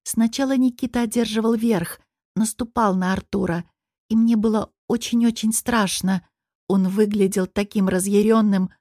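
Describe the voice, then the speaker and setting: A woman reading first-person fiction as an expressive audiobook narrator. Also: medium pitch, normal speed, no room sound, studio quality.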